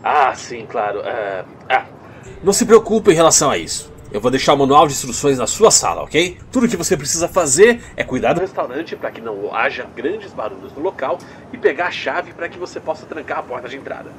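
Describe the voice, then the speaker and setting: Guy doing a shifty brazilian pizzeria owner, swingy pitch, breezy and reassuring, movie dub, faint rumble behind. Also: sing-song, fairly quick, close to an everyday voice. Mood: reassuring, evasive. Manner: hesitant fillers, breezy reassurance. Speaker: voice actor in a fan dub. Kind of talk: dubbed film dialogue.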